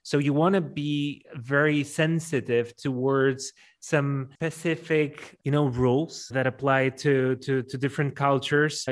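A man with a Polish accent answering interview questions conversationally, often repeating words as he works out his explanation. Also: fairly high pitch. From Poland. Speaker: event MC, interview guest.

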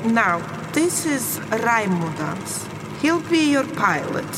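Slow, stern woman. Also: fairly high pitch, sing-song. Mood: firm, patient. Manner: deliberate, officious, firm. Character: stern, unbending Russian woman arranging a secret escape. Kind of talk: audio drama acting. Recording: noisy engine and vehicle ambience.

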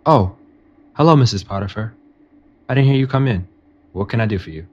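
An actor playing a confident, ambitious nineteen-year-old estate aide in a modern audio drama, speaking respectfully and earnestly. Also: male voice, on the low side, expressive, brisk, lo-fi sound. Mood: polite, guarded. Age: young adult. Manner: respectful, earnest, self-assured.

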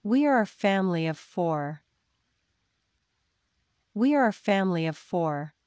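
A woman reading simple example sentences for learners, slowly and deliberately, saying each one twice. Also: mid-range voice, animated, a little mellow, smooth. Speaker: English teacher.

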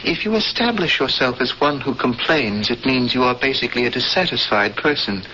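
Bright-voiced solemn male prisoner, old radio. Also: medium pitch, lively intonation, brisk. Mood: resigned. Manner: formal, solemn, literal-minded. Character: earnest, fatalistic male alien prisoner, devout would-be rebel. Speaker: radio drama actor. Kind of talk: 1970s radio drama acting. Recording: dull, noisy vintage radio broadcast.

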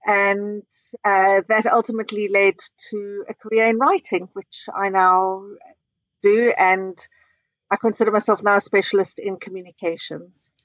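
A middle-aged woman from South Africa, a guest in a conversational interview, talking in a measured, thoughtful way with frequent ums and uhs. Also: mid-range voice, flat delivery, no echo, low-quality recording. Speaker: interview guest, communications consultant.

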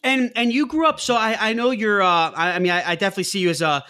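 A man with a notably high voice hosts a business interview show. His questions come out halting, with many restarts and filler words. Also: sharp tone, clear, resonant tone. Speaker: podcast host. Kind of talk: podcast interview.

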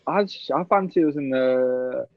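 A man's voice: medium pitch, unhurried, no room sound. A young man, a professional cricketer, chatting casually and laughing often in a podcast interview. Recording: dull, lo-fi, dry sound.

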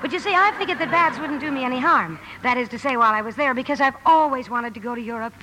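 High-voiced, blunt young tomboy. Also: female voice, fast, pushed and bright. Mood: chatty, flustered. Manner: rambling, self-correcting, outspoken. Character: blunt, impulsive tomboyish young woman, aspiring writer. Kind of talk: 1950 radio drama acting. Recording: noisy vintage 1950 radio broadcast.

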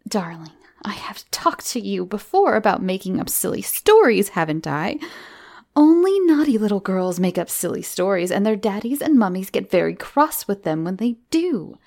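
A woman's voice: mid-range voice, big pitch swings, unhurried, a little mellow, full character voice. A woman voicing a vain, impatient young mother who scolds and gets cross, in a story reading. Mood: cross scolding. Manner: scolding, exasperated, breathy asides.

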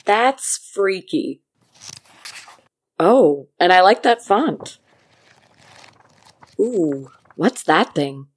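An actress playing a doctor's dry, teasing, quietly wounded partner in intimate audio drama dialogue. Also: female voice, on the low side, expressive, full character voice. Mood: curious. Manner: dry, unhurried, gently sardonic.

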